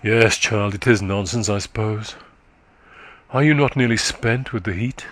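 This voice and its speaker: A man voicing a weary, faint middle-aged countrywoman who is exhausted and short of breath, speaking slowly and low. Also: a bit bright. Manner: slow, low, sorrowful.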